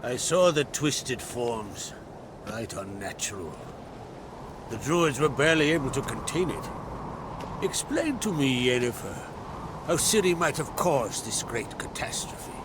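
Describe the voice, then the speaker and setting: An actor playing a proud, fatherly island jarl in a video game, speaking in a deliberate, solemn way with rustic phrasing. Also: mid-range voice, sing-song, background noise. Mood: grave, measured. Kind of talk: dramatic video game dialogue. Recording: game scene with loud music underneath.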